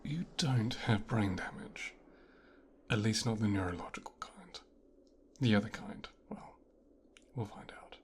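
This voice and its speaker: A man performing an ASMR roleplay as a teasing, commanding mafia boss's son, speaking softly and often whispering close to the microphone. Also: fairly low pitch, animated, measured pace, long pauses. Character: mafia boss's son giving a teasing, domineering medical exam.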